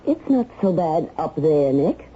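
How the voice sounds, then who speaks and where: An actress playing a middle-aged American mother in a radio drama, loyal, hurt and gently reproachful. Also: low-pitched, sing-song, unhurried, heavily acted. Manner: restrained, wounded, gently reproachful. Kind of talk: 1970s radio drama acting. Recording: muffled, noisy old radio broadcast.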